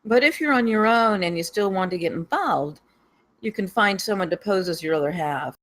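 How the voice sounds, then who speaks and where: A woman's voice: mid-range voice, lively intonation, moderate pace, a bit bright, close to an everyday voice. A woman playing a knowing, easygoing married woman in a screenplay table read. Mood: knowing, matter-of-fact.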